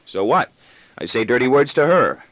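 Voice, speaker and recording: A man's voice: mid-range voice, very animated, normal speed, a bit bright, dry recording, pitched above the performer's own voice, rough recording. A man playing an exasperated, sardonic father with firm, wry retorts in an audiobook story. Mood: firm, teasing. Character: exasperated, sardonic father sparring with his son.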